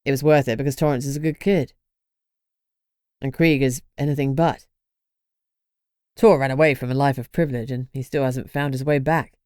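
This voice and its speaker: A gruff, stern male vampire , as a woman reading the story puts him on. The voice is pushed very low and sounds blunt and matter-of-fact. It is recorded close and dry, with no room around it. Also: fairly even intonation, normal speed, pauses often, mellow, no echo.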